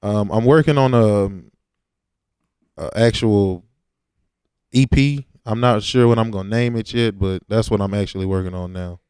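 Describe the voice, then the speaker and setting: A man's voice: on the low side, measured pace, darkish tone, smooth, dry recording, studio quality. A young man, talking casually and slangily as a rapper in an interview. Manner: informal, slangy.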